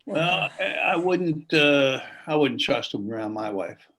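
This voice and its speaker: An actor playing a hesitant older man in a table read, earnest and halting as he gives a cautious warning. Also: a little high, animated, a bit bright. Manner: halting, hesitant, with ums and stammers.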